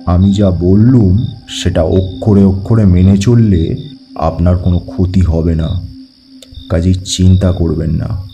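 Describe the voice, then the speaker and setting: A man acting a solemn, anxious village headmaster in an audio story, speaking with emphatic gravity. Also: low voice. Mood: grave, uneasy. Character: grave, anxious male village headmaster giving warnings. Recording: music bed behind the voice.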